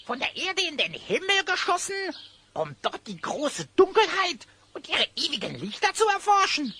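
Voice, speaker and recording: An excitable little elf goblin sidekick from a fantasy audio play. The voice is extremely high and piercingly bright. It argues emphatically, with stubborn disbelief and repeated protests. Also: female voice, heavily acted. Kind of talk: German audio drama acting.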